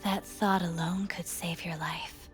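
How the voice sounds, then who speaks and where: An actress playing a calm, enigmatic woman counsellor in a video game, speaking in a breathy, soothing, measured way. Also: fairly low pitch, natural voice. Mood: hushed reassurance. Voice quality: breathy, whispery. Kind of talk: cinematic video game acting. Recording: music playing behind the voice. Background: music.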